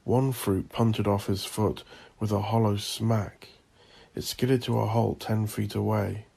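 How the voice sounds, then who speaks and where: Gravelly, low-voiced man narrating horror. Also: little pitch movement, warm tone. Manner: ominous, theatrical, deliberate. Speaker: audio drama narrator and host. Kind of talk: dramatic horror fiction narration.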